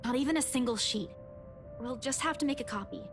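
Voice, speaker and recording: Game heroine: woman as a guarded, prickly, caring young woman, higher-pitched voice, music behind. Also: fairly quick, natural voice. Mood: hesitant, firm. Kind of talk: visual novel voice acting, dialogue.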